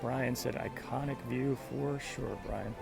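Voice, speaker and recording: Male walking-stream host, mid-pitched and heavy on gravel, friendly off-the-cuff commentary, recorded outdoors with park music. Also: fairly even intonation, slow. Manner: friendly, greets viewers by name. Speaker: livestream host. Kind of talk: casual walking livestream commentary, reading out viewer comments. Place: outdoors in a busy theme park. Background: background music.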